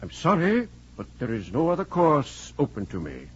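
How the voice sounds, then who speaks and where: An actor playing a grave, formal middle-aged college dean in a 1940s radio drama. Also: male voice, on the high side, huge pitch swings. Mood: grave, regretful. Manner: formal, measured, stern. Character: grave, formal male college dean, regretful but firm. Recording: muffled, hissy 1940s radio recording.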